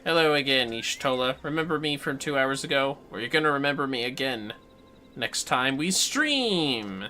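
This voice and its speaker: A man hosts a casual gaming livestream. He talks in a low-key, half-muttered way to himself and his viewers, with faint music behind him. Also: animated, moderate pace, bright, forceful tone. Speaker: game livestreamer.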